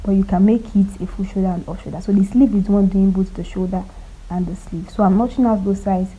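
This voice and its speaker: A woman with a cold walking viewers through cutting and sewing a top, speaking quietly and stopping often to check they are following. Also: steady tone, fast talker, breathy edge, highs cut off. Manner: quiet, low-volume delivery. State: has a cold. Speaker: sewing tutor. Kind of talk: casual step-by-step sewing tutorial.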